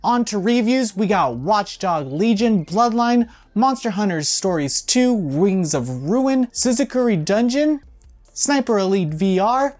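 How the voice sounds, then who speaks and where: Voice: mid-range voice, lively intonation, measured pace, a little mellow. A video game news host running through releases in a casual style, with wry, offhand asides. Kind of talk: casual news rundown with off-the-cuff commentary.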